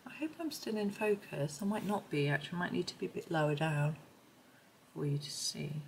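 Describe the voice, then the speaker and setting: A middle-aged woman with a slightly croaky voice, chatting casually and thinking aloud as she demonstrates something on camera. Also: low voice, animated, a little fast, a little mellow, slight background noise. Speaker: host of a colouring supplies review. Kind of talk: casual chat while demonstrating.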